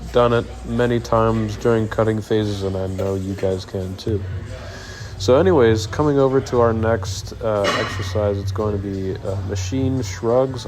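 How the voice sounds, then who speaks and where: A young man with a cold and a nasal voice, casually talking through his workout in a rambling voice-over full of ums. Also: on the low side. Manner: laid-back, rambling, many ums. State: stuffy nose from a cold. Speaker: fitness vlogger. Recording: voice-over over clear background music. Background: music playing underneath.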